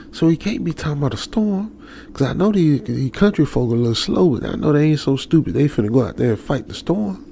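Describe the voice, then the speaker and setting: Man acting gravelly anxious young American trucker. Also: medium pitch, mellow. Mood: puzzled, nervous. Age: young adult. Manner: muttering to himself, halting, nervous. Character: anxious young male trucker stranded alone. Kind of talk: ASMR radio drama monologue. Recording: faint background noise.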